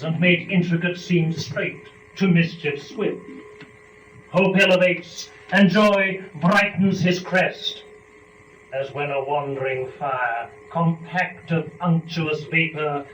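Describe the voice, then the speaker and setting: A man narrating epic poetry dramatically, with measured, formal declamation. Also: a little high, a bit bright. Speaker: verse narrator. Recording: echoey, distant, dull, faint noise.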